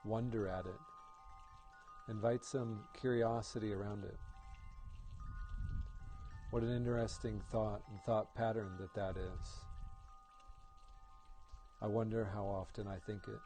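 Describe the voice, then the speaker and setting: Male meditation guide: soft mellow timbre, lowish register, soothing and unhurried in manner, faint music underneath. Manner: calm, gentle, soothing instruction. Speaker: meditation guide, yoga instructor.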